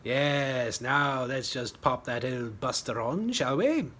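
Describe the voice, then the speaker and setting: A man voicing a jaunty, pompous, excitable WWI pilot in a storybook reading, with old-fashioned officer bluster. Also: medium pitch, slow, slight background noise. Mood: brisk, eager.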